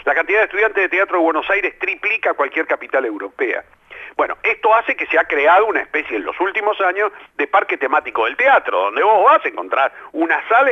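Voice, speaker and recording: A man's voice: a little high, slightly rough. An older man with a Rioplatense accent, a playwright and theatre director answering radio interview questions in a reflective way, with many fillers. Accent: Rioplatense Spanish. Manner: reflective, lively, filler-heavy. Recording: lo-fi radio broadcast.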